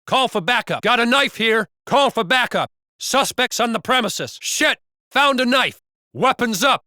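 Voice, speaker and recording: A gruff American patrol cop for a video game. He is blunt and foul-mouthed, talking like a street officer, recorded very dry. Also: very high-pitched, lively intonation, a little slow, bright, forceful tone, dry recording, heavily acted. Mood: urgent, alarmed. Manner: blunt, profane, colloquial. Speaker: police officer character.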